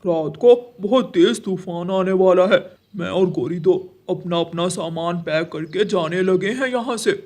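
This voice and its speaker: A man's voice: high voice, a little slow, few pauses, darkish tone. A man playing a scheming, emphatic cartoon crow. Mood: urgent deceit. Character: scheming, gloating married male crow. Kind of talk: Hindi children's cartoon dialogue.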